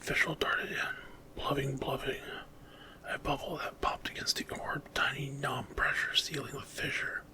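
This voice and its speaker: Male storyteller, hushed whisper, slow delivery, dramatic, intense, ASMR horror fantasy narration. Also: mid-range voice, flat delivery.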